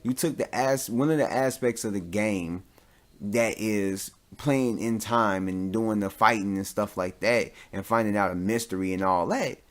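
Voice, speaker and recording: A man's voice: lowish voice, unhurried. A man talking casually as a podcast host, giving opinions in an informal, rambling way and often repeating himself.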